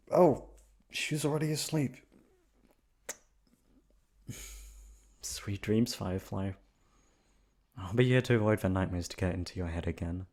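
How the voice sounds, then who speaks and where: A man voicing a caring, protective boyfriend in a soft, reassuring ASMR bedtime roleplay. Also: on the low side, animated, measured pace, long pauses. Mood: tender. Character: caring boyfriend soothing sleeping partner, tender and protective.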